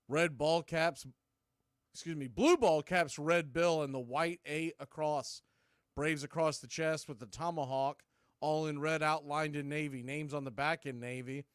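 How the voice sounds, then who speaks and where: Higher-voiced man calling baseball. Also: clear, resonant tone, no room sound, clean studio sound. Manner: folksy, informal, addresses chat listeners. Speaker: baseball play-by-play streamer and show host. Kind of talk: casual live sports commentary.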